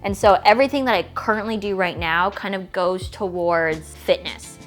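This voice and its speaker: A woman's voice: medium pitch, normal speed, soft background music. Chatty fitness woman in her late twenties. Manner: chatty and rambling, full of "like" and "okay?"; gushing, squealy delight over little toys. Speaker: fitness creator and bodybuilder. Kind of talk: casual vlog Q&A and unboxing.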